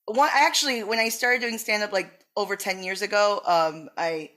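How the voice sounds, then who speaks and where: A woman's voice: medium pitch, moderate pace, pushed and bright. A woman comedian from Miami, talking casually as a podcast guest, brash and joking with plenty of swearing. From Miami, USA. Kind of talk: casual chat.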